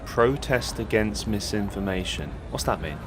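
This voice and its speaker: A man's voice: fairly low pitch, expressive, moderate pace, over music, lightly acted. An actor playing a gullible, apologetic young man at a protest in a comic audio drama, tentative and earnest. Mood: naive, tentative.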